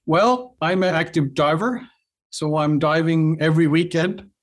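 A middle-aged man from Norway with a Norwegian accent, a company cofounder chatting as an interview guest, often restarting and filling pauses with "uh". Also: a little high. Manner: halting, many restarts and fillers. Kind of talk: casual interview chat.

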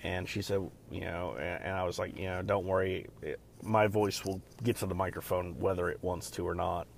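A man hosting a podcast alone, talking in a loud, deep voice and rambling casually with many fillers and restarts. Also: steady tone, moderate pace, gravelly, slight background noise. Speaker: podcast host. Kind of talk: casual solo podcast chat.

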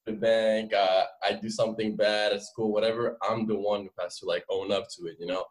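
Young french guy, french accent, kinda low voice, chatting casually as a podcast guest, says like and you know a lot. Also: flat delivery, a little fast. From France. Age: 20s. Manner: informal, explanatory, frequent "like" and "you know". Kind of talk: casual interview storytelling.

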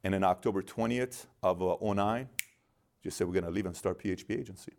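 A middle-aged man from Iran with a fairly low voice talks through his story as an interview guest. He acts out both sides of every conversation and keeps the tale moving with lively pacing. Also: fast talker. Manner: animated storyteller, acts out dialogue in quotes. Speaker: guest, business founder.

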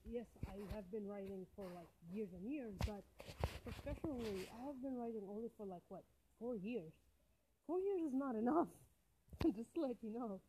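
A woman's voice: a little high, animated, normal speed, background noise. A woman from Algeria, an English speaker, chatting casually and emphatically as she gives advice on a solo podcast, often repeating phrases for stress. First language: not English, a non-native speaker. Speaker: podcast host, independent writer.

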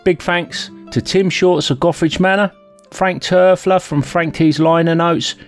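A man's voice: a little high, steady tone, a little slow, faint music behind. A man hosting a podcast, talking casually and informally in a self-deprecating way. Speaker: podcast host. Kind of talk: casual solo podcast talk.

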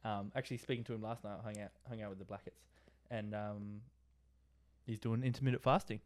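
A man's voice: fairly low pitch, fairly even intonation, a little fast, darkish tone. A young Australian man co-hosting a podcast, chatting casually with a mate and laughing often. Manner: laid-back, laughing, slangy. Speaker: podcast co-host. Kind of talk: casual chat between mates.

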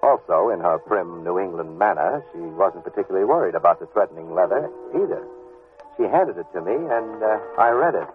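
Casting: laid-back American private detective, lowish voice, wry and hesitant delivery, old muffled radio drama sound. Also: male voice, lively intonation, brisk. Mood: wry, storytelling. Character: wry, easygoing male private detective narrating his case. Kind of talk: old-time radio detective drama acting and first-person narration. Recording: muffled vintage radio recording with music.

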